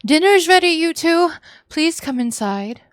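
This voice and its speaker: A woman's voice: very high-pitched, expressive, measured pace, no echo, clean studio sound, voice pushed up. A woman voicing a cheerful, bossy and unhinged mother with an overly chirpy, sing-song delivery in a visual novel.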